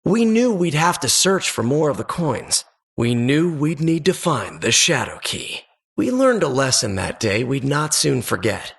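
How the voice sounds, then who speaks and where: A man's voice: medium pitch, big pitch swings, a little slow, vocal fry, slightly rough, full character voice. A man playing an earnest adventurer who narrates childhood dungeon exploits for a video game in a suspenseful, wistful storybook manner. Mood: wistful, dramatic.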